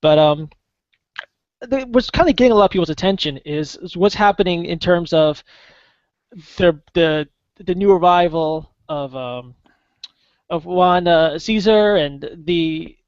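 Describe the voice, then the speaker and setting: Casual male guest voice, pitched high, dry close sound, lots of ums. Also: clear, resonant tone, no echo. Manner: halting, many fillers and restarts. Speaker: guest soccer contributor. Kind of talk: casual podcast chat. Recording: dull lo-fi podcast audio, dry.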